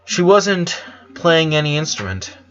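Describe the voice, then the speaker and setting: A man reading a story aloud as an expressive narrator, voicing dialogue between passages of prose. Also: fairly high pitch, unhurried, highs cut off. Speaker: narrator reading fan fiction.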